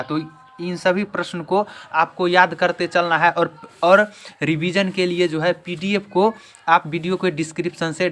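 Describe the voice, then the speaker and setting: A man's voice: high-pitched, fairly bright tone. A man teaching an exam-prep lesson in question-and-answer form, emphatically repeating each answer.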